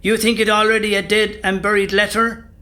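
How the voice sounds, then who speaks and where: An actor as the earnest narrator of a historical audio drama, reading from a script. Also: male voice, sits high, little pitch movement, a little fast, sharp tone. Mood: impassioned.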